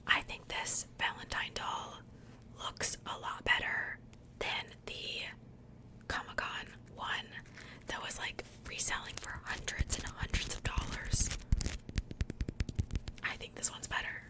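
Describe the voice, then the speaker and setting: A woman whispering softly and breathily in a casual, gentle ASMR style, chatting conversationally close to the microphone. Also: takes it slowly, pauses often, poor audio. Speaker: host of an ASMR doll unboxing channel.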